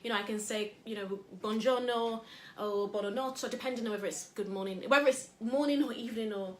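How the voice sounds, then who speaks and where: A woman's voice: on the high side, a little fast, reverberant, a little hiss or hum. A woman from England hosting a casual motivational talk. She talks in a chatty, rambling way with lots of fillers. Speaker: host.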